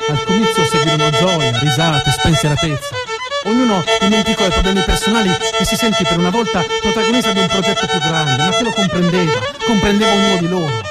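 A man's voice: high voice, big pitch swings, fast talker, over loud music. A man telling a story as a stage narrator, emphatic and dramatic, building up lists and repetitions.

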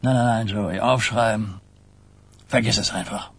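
An actor playing an old, weary and blunt New York mafia boss in a German radio crime drama, husky and grumbling. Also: male voice, lowish voice, steady tone, a little slow, faint music behind. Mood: dismissive. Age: older.

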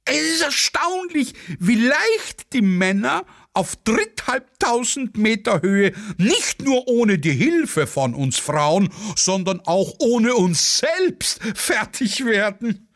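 Guy putting on a gushy lady war correspondent, high voice, super theatrical and swoopy, declaiming in a satirical radio play. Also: very animated, slow, fairly bright tone, exaggerated voice. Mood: eager, gushing. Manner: affected, declamatory, breathlessly enthusiastic. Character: gushing, theatrical female war correspondent, affectedly thrilled by battle. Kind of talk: dramatic solo reading of a satirical play.

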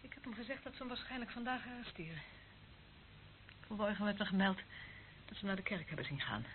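A man's voice: sits high, animated, brisk. A man in a radio play acting a cold, authoritative official in charge of secret tapes. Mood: tense, knowing. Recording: noisy, tinny old radio recording.

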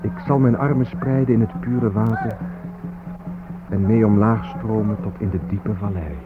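An actor plays a solemn man reciting lyrically in a radio play. His low voice is measured, with music behind it on a muffled recording. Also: steady tone, close to an everyday voice. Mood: reverent. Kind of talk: poetic radio play monologue.

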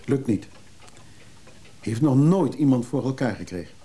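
An actor playing a restless, evasive recovering drinker in a radio play, hesitant and confiding. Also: male voice, medium pitch, lively intonation, a little slow, mellow, breathy edge. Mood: firm. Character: restless recovering drinker, evasive man who craves freedom. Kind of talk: naturalistic Dutch radio drama acting.

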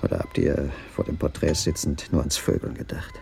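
A deep-voiced jealous husband broods in a radio crime drama. His voice is low with a gravelly creak, and he ponders bitterly, as if thinking aloud. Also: sing-song, a little fast, a little mellow, faint music behind, heavily acted. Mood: bitter, brooding. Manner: bitter, pondering inner monologue. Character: brooding, jealous betrayed husband, a dining-car waiter.

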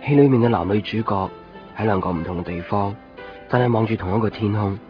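Actor as a low-voiced, wistful young Hong Kong man. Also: quick pace, lightly acted. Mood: reminiscing. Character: wistful lonely young man, anxious lovelorn student traveller. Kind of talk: radio drama monologue and dialogue. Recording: muffled radio recording with prominent music.

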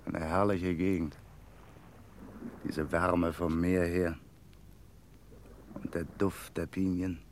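An actor playing a reflective vagabond in a German radio play, speaking in a measured, contemplative way. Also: male voice, sits low, little pitch movement, a little hiss or hum. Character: wandering vagabond Maurizio, reflective, warm to an old friend.

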